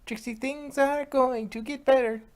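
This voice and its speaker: A woman voicing a hyper, cheerful young pony mare with a squeaky, bouncy, singsong delivery in a fan fiction audio drama. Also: fairly even intonation, moderate pace, a little mellow, lightly acted.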